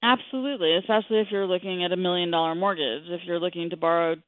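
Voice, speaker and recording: Phone-line guest: middle-aged female expert, explanatory and measured, dry sound with narrow bandwidth. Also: lowish voice, steady tone, dry recording. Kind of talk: radio interview, explanatory talk.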